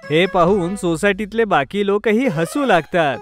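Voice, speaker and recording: A man with a notably high voice reads a scripted story for children. He works as the narrator, with faint music underneath. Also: unhurried.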